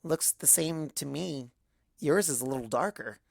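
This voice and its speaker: A woman's voice: low-pitched, measured pace, vocal fry, no echo, clean studio sound, heavily acted. A woman voicing a weary, hungover female pony scholar in a story reading, groaning and subdued. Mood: flat.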